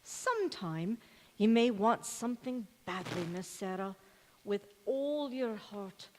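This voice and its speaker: A slow-spoken old maidservant played by a woman in a golden age radio play. She is dour but devoted, speaking with grave deliberation and a sense of foreboding. Her voice rings in a big reverberant hall. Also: medium pitch, sing-song, a little hiss or hum. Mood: solemn, mysterious. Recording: echoey church hall stage recording.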